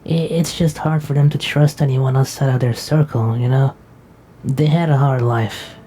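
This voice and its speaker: A woman's voice: very deep voice, steady tone, moderate pace, mellow, slight background noise. A woman playing a sleepy, protective young knight who talks in a drowsy, affectionate way. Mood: tired. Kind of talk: casual roleplay dialogue.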